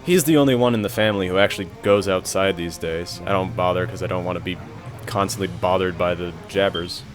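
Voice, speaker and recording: A man's voice: low-pitched, brisk, soft background music, natural voice. A young man playing an awkward, sarcastic, reclusive gamer in an amateur romantic comedy audio drama. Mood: dry, casual. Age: young adult.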